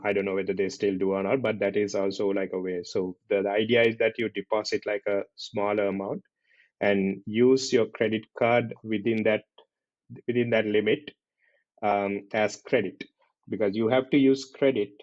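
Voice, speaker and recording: A man with a Sri Lankan accent talking casually as a podcast host, halting and repeating himself, often checking in with a tag question. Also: lowish voice, a little mellow, no echo. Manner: halting, repetitive, often tags questions with "right". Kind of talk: casual conversational podcast talk. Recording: dull lo-fi audio.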